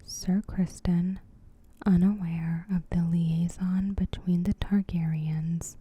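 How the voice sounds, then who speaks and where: A woman softly whispering a scripted recap as an ASMR host, in a gentle, breathy, measured way. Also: lowish voice, little pitch movement. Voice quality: breathy, whispered soft speech. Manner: gentle, unhurried, evenly measured.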